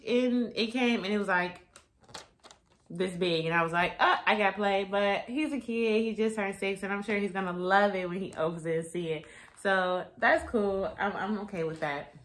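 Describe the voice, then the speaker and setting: A young woman chatting casually and informally to camera, her voice a little raspy and tired. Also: mid-range voice, fairly bright tone, slight background noise. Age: young adult. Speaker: vlogger. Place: small room at home, indoors.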